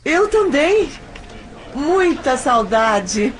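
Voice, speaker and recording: Heartbroken young Brazilian heroine , played by a woman, high, slow, emotional voice for audio drama, faint background noise. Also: big pitch swings, heavily acted. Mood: feigned cheer, nervous. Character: heartbroken, desperate young woman feigning cheer. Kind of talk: audio novel acting.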